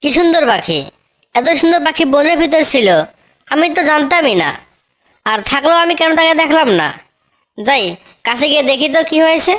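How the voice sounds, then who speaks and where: Little tailorbird heroine female actor, cartoon fable: kind, determined, eager, high-pitched voice. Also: expressive, moderate pace, long pauses, fairly bright tone. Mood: curious.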